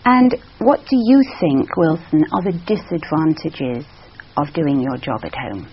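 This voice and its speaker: Female examiner, lively intonation, steady questioning, dull recording. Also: sits low, a little hiss or hum. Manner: measured, encouraging with frequent backchannels. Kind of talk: structured interview questions. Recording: dull lo-fi sound.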